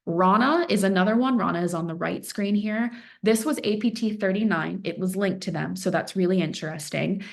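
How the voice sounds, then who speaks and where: A woman's voice: medium pitch, brisk, gravelly, hardly any pauses. A woman giving an earnest presentation as an analyst, stressing points by repeating phrases, with fillers like "um" and "you know". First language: Farsi speaker. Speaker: threat intelligence analyst presenting. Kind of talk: webinar presentation.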